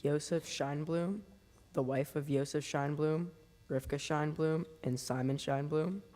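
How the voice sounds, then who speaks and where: A young man solemnly reading a list of names at a memorial in a steady recitation. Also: on the high side, a little slow. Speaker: student reader. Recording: long hall reverb.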